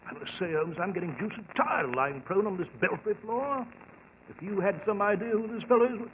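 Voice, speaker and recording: Through a thin, hissy old radio recording comes a man playing a blustery English gentleman doctor. The voice sits very high, and the delivery is old-fashioned, loyal and a little puzzled, in classic radio-play style. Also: brisk, close to an everyday voice. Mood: grumbling, hushed. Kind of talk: 1940s radio acting. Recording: crackly, narrow-band old radio recording.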